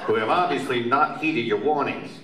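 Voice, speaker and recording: A man's voice: medium pitch, moderate pace, slight background noise, lightly acted. A man playing a dim, servile, eager henchman to a villain in a live comic radio play. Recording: echoey convention hall, distant mic, loud crowd.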